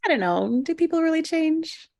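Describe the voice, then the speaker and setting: A woman playing an awkward, quietly competitive single woman in a screenplay table read, conversational and defensive. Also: very high-pitched, expressive, moderate pace, creaky, heavily acted. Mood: polite, guarded.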